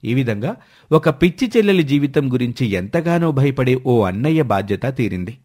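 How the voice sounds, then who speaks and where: A man's voice: mid-range voice, very animated, normal speed, a little mellow, husky. A man narrating a scripted moral story as its storyteller.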